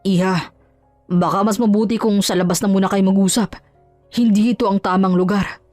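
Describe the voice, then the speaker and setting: A woman voicing a male priest in an audio drama, calm, polite and gently intervening. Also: mid-range voice, fairly quick, no room sound, soft background music, natural voice.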